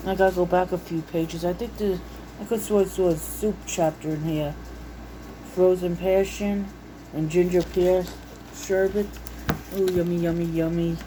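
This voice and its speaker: A woman's voice: fairly low pitch, fairly even intonation, moderate pace, background noise. A woman chatting casually and unscripted, reading things out and musing to herself in a homey, folksy way. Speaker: vlogger showing a recipe book. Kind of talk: casual unscripted chat while browsing and reading out recipes. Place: indoors at home.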